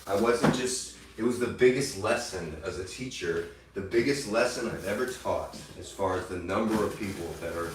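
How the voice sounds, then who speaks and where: A man livestreaming an angry, profane rant that taunts his critics, now and then mimicking them in a shrill mocking voice. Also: background noise. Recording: echoey room, voice from far off the mic.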